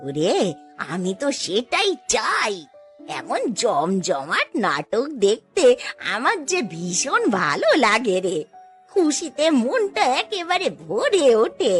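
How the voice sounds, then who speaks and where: A woman's voice: on the high side, wildly swooping pitch, slow pace, faint music behind, heavily acted. A woman voicing a spiteful, gossiping older village aunt in a cartoon, gloating and scolding. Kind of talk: Bengali cartoon voice acting.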